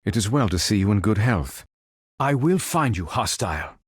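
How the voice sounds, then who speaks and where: Formal android superhero game voice, calm and measured, bit husky, really dry close sound. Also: male voice, on the low side, no room sound. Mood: courteous, formal, then stern, defiant. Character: calm, stately male android superhero with formal, analytical temper. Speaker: video game character. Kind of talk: scripted game voice lines.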